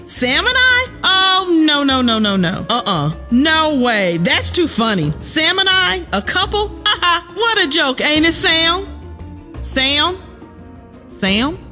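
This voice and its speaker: An actress playing a tough, impatient, sharp-tongued woman survivor in a comedic radio drama. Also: high-pitched, big pitch swings, slow, soft background music, heavily acted. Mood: amused. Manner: sharp-tongued, colloquial, sarcastic.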